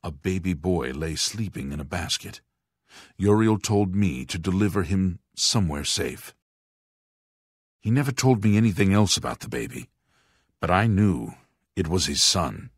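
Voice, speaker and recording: Deep, husky, solemn monk. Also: male voice, animated, no room sound, clean studio sound, full character voice. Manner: formal, solemn, deliberate enunciation. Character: grave aging monk, former captain of imperial bodyguards. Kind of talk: fantasy video game voice acting.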